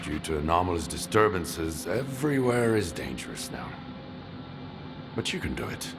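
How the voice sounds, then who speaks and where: A hardened veteran of the wasteland in a video game cutscene. He speaks bluntly and gravely in a very low voice. Music plays clearly underneath. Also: very animated, normal speed, heavily acted. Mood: grim. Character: hardened veteran male stalker, grim, resolute.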